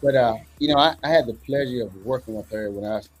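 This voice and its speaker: A man hosting a casual interview show. He is emphatic and energetic, repeats phrases and jumps in with lively interjections. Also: medium pitch, quick pace, faint music behind, rough recording. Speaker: show host.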